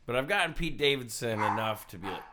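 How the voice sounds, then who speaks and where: A man's voice: mid-range voice, lively intonation, fast talker, background noise. A man in his twenties from Connecticut, a comedian chatting loosely as a podcast guest and swearing freely. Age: 20s. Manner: rambling, swears casually. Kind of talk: casual podcast chat.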